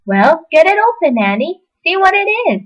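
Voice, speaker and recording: A woman's voice: very high voice, sing-song, normal speed, low-quality recording. A woman playing a doting, fussy motherly figure in an amateur radio play, effusive with homely exclamations. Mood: amazed, delighted. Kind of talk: old-time radio play acting by an amateur.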